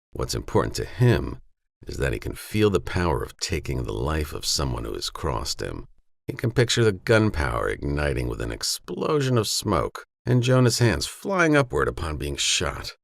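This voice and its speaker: A man narrating a western novella as an audiobook, in a measured, grave storytelling manner. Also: extremely low pitch, gravelly, dry recording, clean studio sound.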